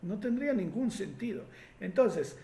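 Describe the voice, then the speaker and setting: A man from Italy speaking Spanish with an Italian accent, a regression therapist answering listener questions in a reflective, explanatory way with many pauses. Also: high-pitched, sing-song, mellow, reverberant, a little hiss or hum.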